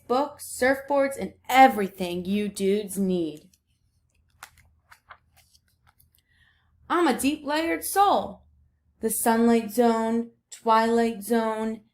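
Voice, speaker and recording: A woman's voice: on the high side, darkish tone. A woman voicing the ocean as a laid-back, boastful surfer dude in a picture book read aloud. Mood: boastful, relaxed. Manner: slangy, breezy surfer talk.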